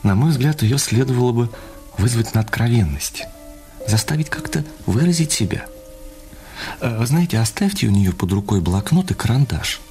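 A man acting in a radio play as a puzzled, considerate doctor, giving advice in a measured way. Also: medium pitch, animated, a little mellow, faint music behind, close to an everyday voice. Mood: puzzled, advising. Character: puzzled, considerate male doctor advising a husband.

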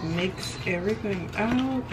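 A woman's voice: mid-range voice, slow pace. A young woman vlogging casually at home, chatting informally and unhurriedly to her viewers in a kitchen. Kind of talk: casual vlog chat. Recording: noisy room ambience.

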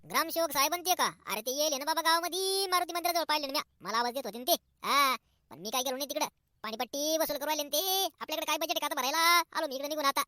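A chatty, slippery cartoon villager played by a man with a rural Maharashtrian accent. The voice is very high and bright, rattling along quickly in colloquial, excitable speech. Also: dry recording, clean studio sound, heavily acted. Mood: chatty, insistent. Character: chatty, evasive village men dodging tax collectors. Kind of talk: comic cartoon dialogue.

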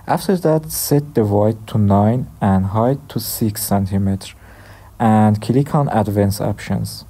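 A young man from Iran with an Iranian accent, hosting a software tutorial with plain step-by-step instructions. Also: lowish voice, husky. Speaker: tutorial host. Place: dim room at a desk.

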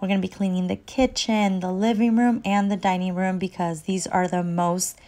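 A woman's voice: medium pitch, steady tone, normal speed, darkish tone. A young woman with a stuffy, sniffly cold, chatting upbeat to viewers while cleaning her home kitchen. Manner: upbeat, chatty, laughs between phrases. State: stuffy and sniffly with a sore throat. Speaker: cleaning vlogger, mother.

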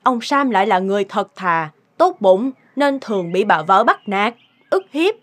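A woman voicing a frank, gossipy neighbor in an animated moral tale, chatty and confiding. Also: medium pitch, normal speed. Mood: confiding gossip. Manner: chatty, confiding, hesitating to recall.

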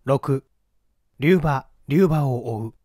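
A man's voice: mid-range voice, extremely slow, darkish tone, no room sound, clean studio sound. A man reading a novel aloud as a dramatic scripted narration. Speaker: audiobook narrator.

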